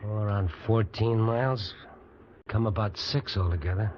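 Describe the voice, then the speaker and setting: A man's voice: on the low side, moderate pace, close to an everyday voice. An actor in an old-time radio drama playing a worn-out fugitive prisoner, plainspoken, wry and resigned to his fate. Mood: weary, numb. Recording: dull, noisy old radio recording.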